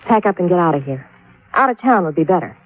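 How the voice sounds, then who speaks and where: A woman's voice: on the low side, animated, quick pace, no room sound. An actress playing a hesitant, guarded, uneasy woman in an old-time radio crime drama. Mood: uneasy, warning. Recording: dull vintage radio recording.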